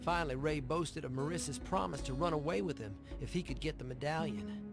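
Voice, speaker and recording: A man playing a weary preacher who narrates a Western video game, grave and measured. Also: fairly high pitch, gravelly, over music. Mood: regretful. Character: weary, sorrowful preacher brother of outlaws. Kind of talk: dramatic scripted game narration.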